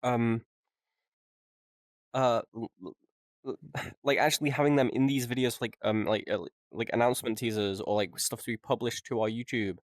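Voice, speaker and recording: A man's voice: mid-range voice, steady tone, moderate pace, dry recording, clean studio sound. A man co-hosting a casual unscripted podcast, joking and swearing as he rambles along. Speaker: podcast co-host and video editor.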